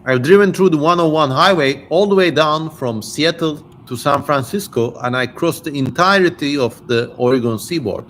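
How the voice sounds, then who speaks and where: A man's voice: a little high, moderate pace, hardly any pauses, a bit bright. A man from Turkey with a Turkish accent, hosting a casual livestream in a playfully theatrical way, chatting and reading out viewer comments. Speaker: livestream host and reader.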